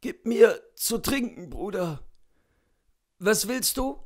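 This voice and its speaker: A man reading a story and voicing a weary, dying coachman with a weak, hoarse voice and laboured speech. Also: high-pitched, very animated, takes it slowly, darkish tone, pitched above the performer's own voice, heavily acted.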